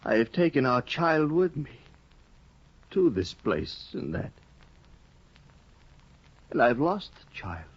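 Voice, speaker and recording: A man's voice: mid-range voice, big pitch swings, takes it slowly, pauses often, slightly rough, exaggerated voice. An actor in a 1950s radio play, voicing a despairing, ruined male scholar who broods bitterly and breaks into anguished outbursts. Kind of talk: 1950s radio drama acting. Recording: dull 1950 radio broadcast.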